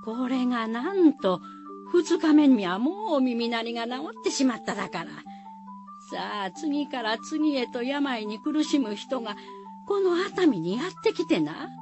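A woman's voice: sits high, sing-song, measured pace, over music. A woman narrating a radio folktale as a storyteller, in folksy rustic dialect, addressing listeners directly.

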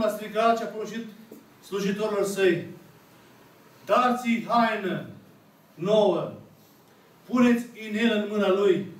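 A man in his thirties, an Orthodox priest with a Romanian accent, preaching slowly and emphatically to a seated congregation with long dramatic pauses, recorded by a camera at the back of a small church. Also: high-pitched. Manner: slow, emphatic, dramatic pauses, voices the characters' words. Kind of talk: sermon retelling a parable. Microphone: camera at the back of the room. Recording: echoey church room, picked up from far off.

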